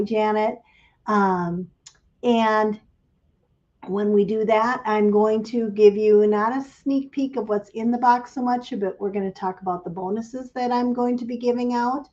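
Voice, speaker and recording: A woman chats casually on a livestream, greeting viewers by name. Her voice is mellow and a little raspy from a cold. The stream audio sounds slightly dull and lo-fi. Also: medium pitch. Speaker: craft business owner hosting a livestream.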